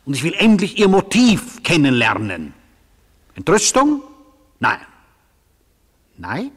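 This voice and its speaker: An actor playing a stern, impatient judge in a radio play, questioning curtly and sceptically in a reverberant hall. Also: male voice, fairly high pitch, wildly swooping pitch, slow, husky, full character voice. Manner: curt, sceptical, probing. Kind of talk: literary German radio play. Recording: long reverberant courtroom-like hall.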